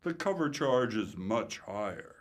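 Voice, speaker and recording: A man's voice: fairly low pitch, big pitch swings, a little slow. An actor playing a wisecracking male friend who helps his pal sneak out, with dry timing, in old-time radio comedy style.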